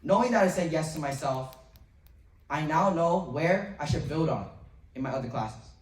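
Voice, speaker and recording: A seventh grade boy giving an earnest memorized speech to a school assembly, with pauses and a few restarts. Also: on the high side, expressive. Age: young teen, 7th grade middle school student. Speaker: student speaker. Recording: roomy, distant from the mic.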